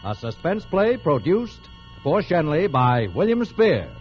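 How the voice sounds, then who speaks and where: A man's voice: fairly high pitch, sing-song, a little slow. A man announcing a program in a dramatic, portentous 1940s radio style. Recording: muffled old radio broadcast. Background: music.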